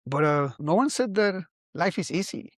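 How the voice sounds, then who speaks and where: A man's voice: sits high, animated, dry recording, clean studio sound. Musing Costa Rican man in his forties. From Costa Rica. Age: 40s. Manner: musing, halting, many restarts. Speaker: vlogger. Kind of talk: reflective casual monologue.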